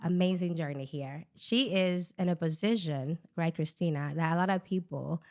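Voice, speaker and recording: Emphatic woman, low voice. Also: normal speed, a little mellow. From Dominican Republic. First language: Spanish. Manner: emphatic, motivational, asks "right?" often. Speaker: podcast co-host. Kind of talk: casual podcast conversation.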